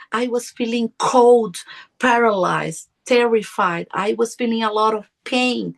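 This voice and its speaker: A woman's voice: mid-range voice, vocal fry. A middle-aged woman whose first language is Portuguese, telling a personal story as a podcast guest, earnest and often searching for her words. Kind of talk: personal testimony.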